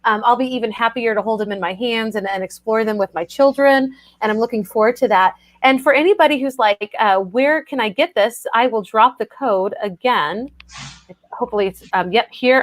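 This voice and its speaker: A woman's voice: on the high side, moderate pace, dry recording. A woman hosting a casual book chat over a video call, effusive and talking with many fillers.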